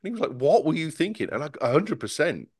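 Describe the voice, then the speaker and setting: A man on a casual tech podcast panel, talking in an animated, sarcastic way with many stumbling restarts. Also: on the high side, fast, slightly rough, no echo, studio quality.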